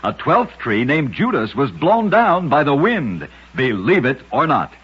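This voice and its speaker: A man's voice: mid-range voice, sing-song, unhurried, husky. A man narrating short scripted oddity stories as a radio host, with a dramatic storytelling delivery. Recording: muffled vintage radio recording.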